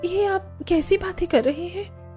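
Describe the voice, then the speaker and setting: A woman's voice: very high-pitched, measured pace, warm tone, faint music behind, pitched above the performer's own voice. A woman voicing an aggrieved young daughter-in-law in a cartoon, plaintive and protesting. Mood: indignant.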